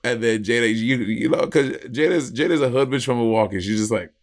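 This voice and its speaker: A man in his thirties with an American accent co-hosting a casual review chat, retelling moments in an animated way with acted-out quotes. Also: mid-range voice, brisk. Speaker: podcast co-host. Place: small indoor room at home.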